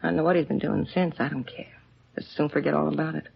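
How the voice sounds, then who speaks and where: An actress playing a curt, jaded ex-wife of a crook in a 1950s radio crime drama. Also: female voice, deep voice, steady tone, quick pace, creaky, husky, heavily acted. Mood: bitter, jaded. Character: bitter, hard-bitten ex-wife of a small-time crook. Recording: dull old radio recording.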